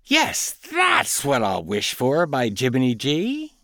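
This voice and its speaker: A man voicing a greedy, boastful young male duck in a storybook reading, bragging and shrieking with excitement. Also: medium pitch, slow, dry recording, studio quality, pitched above the performer's own voice, heavily acted. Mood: frantic bragging.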